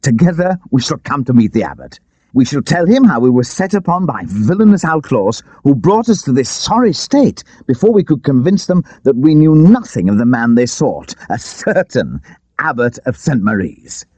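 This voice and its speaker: A male narrator voices a bold male outlaw chief in a storybook adventure, pitched well up from a low register and swooping widely. He is gleeful and commanding, rallying his men with declamatory vigour. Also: big pitch swings, moderate pace, mellow, slightly rough, dry recording. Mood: rousing, commanding.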